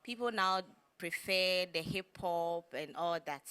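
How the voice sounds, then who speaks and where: A woman's voice: lowish voice, a little slow, pushed and bright, smooth. A woman with a Ghanaian accent casually sharing her opinion on a discussion panel.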